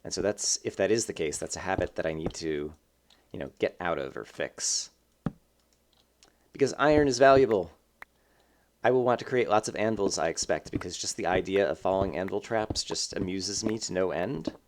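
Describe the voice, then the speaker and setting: Let's play host, man, lowish voice, slightly stuffy nose, relaxed meandering commentary with fillers. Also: moderate pace, slight background noise. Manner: rambling, thinking aloud with many fillers. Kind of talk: casual gameplay commentary.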